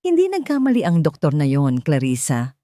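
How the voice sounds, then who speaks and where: A woman's voice: low voice, wildly swooping pitch, normal speed, dark tone, no room sound, studio quality. Woman voicing a grave, protective, commanding man. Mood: grim. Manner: firm, serious, commanding. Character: grave, protective wealthy man, firm and threatening.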